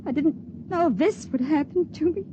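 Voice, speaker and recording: A woman acts a scared, wistful young stowaway in a 1950s radio play. Her voice is fairly high, earnest and halting with feeling. The old recording sounds dull, with clear noise behind it. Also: natural voice. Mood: regretful. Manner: earnest, halting, emotional phrasing. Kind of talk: 1950s radio drama acting.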